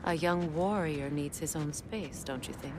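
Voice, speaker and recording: A woman voicing a resolute, commanding warrior queen in a video game, speaking in a firm, measured way. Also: low-pitched. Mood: calm, gentler. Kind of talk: cinematic video game acting. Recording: loud ambient noise behind.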